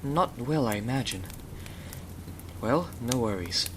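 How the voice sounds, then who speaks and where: A man's voice: mid-range voice, animated, slow. A man playing a gallant, protective husband in an ASMR roleplay, speaking in a soothing, courteous, old-fashioned way. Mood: gentle, courteous. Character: Jonathan, gallant protective husband, gentle rescuer. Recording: clearly noisy, rain behind the voice. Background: rain.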